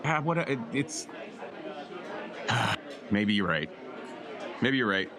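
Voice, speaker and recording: A man's voice: mid-range voice, sing-song, slow pace, crowd noise, voice pushed up. A man playing a flustered, self-doubting male half-elf adventurer in a tabletop game, stammering and repeating himself. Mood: flustered, dejected. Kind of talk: improvised tabletop roleplay dialogue.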